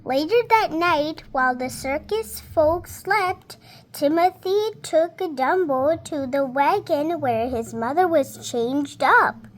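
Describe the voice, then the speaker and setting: A girl of about seven reading a storybook aloud in a careful, halting, word-by-word way. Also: high-pitched, expressive. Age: child, about seven. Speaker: young reader.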